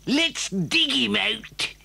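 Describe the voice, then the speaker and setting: A sly, aggressive farmer in a children's audio play. His voice is forceful and sharp, drawn out slowly, with a cantankerous snap. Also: extremely high pitch, expressive, gravelly, breathy edge, exaggerated voice. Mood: eager, scheming. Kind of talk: children's audio drama acting.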